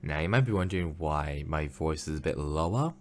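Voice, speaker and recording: A tired man talking quietly late at night in a casual solo video, rambling with lots of fillers and restarts. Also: expressive, fairly quick, a little hiss or hum. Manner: a bit quiet, lower than usual. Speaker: esports commentary YouTuber.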